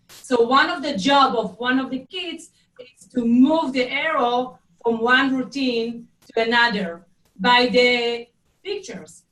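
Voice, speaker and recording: Slow woman with Hebrew accent, echoey. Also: fairly high pitch, fairly bright tone. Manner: halting, searching for words. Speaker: preschool teacher taking part. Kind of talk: video call discussion. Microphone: video call, distant from the mic. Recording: echoey room, far from mic.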